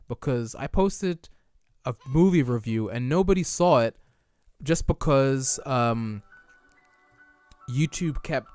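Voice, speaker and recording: Young male streamer, mid-pitched, casual chat-reading, slightly tired voice, light music bed. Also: lively intonation, slow, darkish tone, dry recording. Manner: chatty, reads chat aloud and answers it, loose restarts. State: losing his voice.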